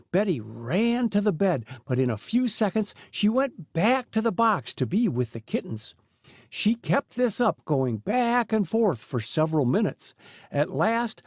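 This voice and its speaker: A man's voice: sits high, no room sound. A man reading a storybook aloud as an audiobook narrator, in a scripted narration style. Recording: dull, lo-fi sound.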